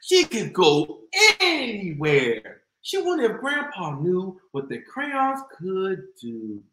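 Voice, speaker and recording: An American man in his thirties reading aloud to young children as a storyteller, with a theatrical, drawn-out, exclaiming delivery. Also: high voice, huge pitch swings, a bit bright. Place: small indoor room. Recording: roomy echo.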